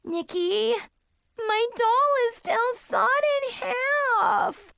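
A woman's voice: extremely high pitch, drawn-out words, vocal fry, a bit bright, voice pushed up, full character voice. A woman voicing a bratty, theatrical little girl who plays stage magician in a storybook, showy and prone to whining. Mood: whiny. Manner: showy announcing, whiny when thwarted.

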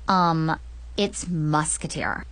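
A woman's voice: on the high side, very animated, slow pace, heavily acted. A woman voicing a shy, polite young teenage boy with a mild, hesitant manner in a storybook narration.

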